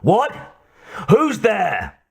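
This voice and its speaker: A man voicing an angry, demanding male driver who shouts, in an audiobook. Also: high-pitched, huge pitch swings, extremely slow, gravelly, breathy edge, pitched above the performer's own voice, heavily acted. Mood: alarmed, demanding. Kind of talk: audiobook character voice.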